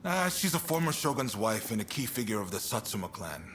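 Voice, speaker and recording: An actor playing a resolute, burdened samurai commander in a video game cutscene, speaking in a measured, plain-spoken way with weary resolve. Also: male voice, on the low side, very animated, a bit bright, a little hiss or hum. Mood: thoughtful. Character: frank, resolute samurai military commander, burdened but calm. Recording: music behind the voice.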